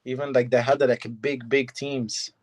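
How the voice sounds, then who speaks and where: A young man from Syria, answering interview questions in short, halting phrases of simple English. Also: medium pitch, flat delivery, a little mellow. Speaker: fighter, guest.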